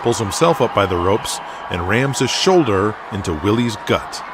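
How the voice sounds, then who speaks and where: A man's voice: fairly low pitch, big pitch swings, moderate pace. A man narrating a scripted audio drama. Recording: loud noise behind the voice.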